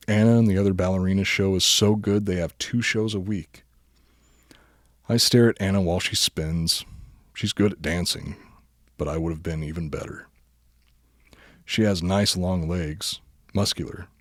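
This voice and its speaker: Deep-voiced man reading a comic story. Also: no room sound, studio quality. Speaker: podcast host reading a story.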